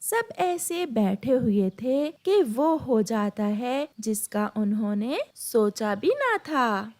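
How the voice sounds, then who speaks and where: A woman with a fairly high voice narrates a children's story. She takes her scripted lines slowly. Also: sing-song, a little mellow.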